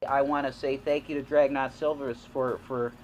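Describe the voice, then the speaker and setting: A man's voice: mid-range voice, little pitch movement, normal speed, darkish tone, muffled recording, soft background music. A man rambling and repeating himself in a clip recorded in a hotel room. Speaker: streamer in a played clip. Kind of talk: rambling video address.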